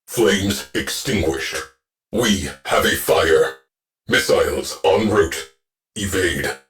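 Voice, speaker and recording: An actor playing a gruff male ship AI that gives clipped, deadpan military orders to a warship crew in a video game. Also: low voice, slow pace, full character voice. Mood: terse. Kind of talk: game voice lines.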